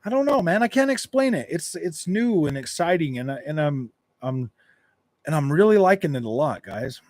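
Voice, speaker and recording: A man's voice: fairly high pitch, very animated, normal speed, darkish tone, no room sound. Laid-back rambling gaming streamer, man. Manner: rambling, repeats and restarts phrases, laid-back. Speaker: gaming streamer reading live chat. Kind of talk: casual live-stream chat.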